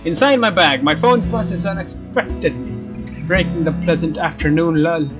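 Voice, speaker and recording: A man narrating a visual novel as a let's player, expressive and informal, with casual asides. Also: a little high, moderate pace. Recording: dull lo-fi sound, music behind. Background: game music with guitar.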